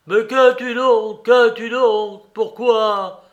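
A man voicing a boisterous, mocking old father who shouts and jeers theatrically. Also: very high-pitched, fairly even intonation, extremely slow, lo-fi sound, voice pushed up, heavily acted. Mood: wheedling.

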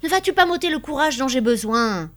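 Storybook role: proud middle-aged shopkeeper husband, stubbornly hopeful, insistent, raised pitch, read by a woman. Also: sing-song, normal speed. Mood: defensive. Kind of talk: dramatised audiobook dialogue.

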